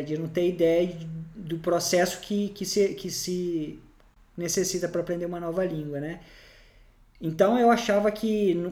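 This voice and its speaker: A man's voice: sits high, darkish tone. Hesitant man talking in an echoey room. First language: Portuguese. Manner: hesitant, many restarts and fillers. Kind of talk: casual conversation.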